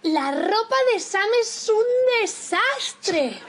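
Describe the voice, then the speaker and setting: A squealing bubbly flatmate. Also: female voice, very high-pitched, drawn-out words, a little hiss or hum, exaggerated voice. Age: young adult. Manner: excitable, exaggerated, squealing. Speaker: actress playing Lola, a bubbly flatmate. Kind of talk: slow, clear sitcom acting for learners.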